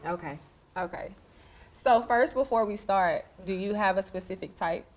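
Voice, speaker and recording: A woman's voice: mid-range voice, normal speed, darkish tone. A woman co-hosting a podcast dating game, explaining rules and questioning the guest in an upbeat, giggly way. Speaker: podcast co-host.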